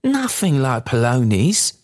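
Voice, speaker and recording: A man's voice: mid-range voice, huge pitch swings, a little slow, a little mellow, voice pushed up. A man voicing a cheerful, doting young working-class daughter in a storybook, with eager, affectionate chatter. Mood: delighted. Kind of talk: storybook character dialogue.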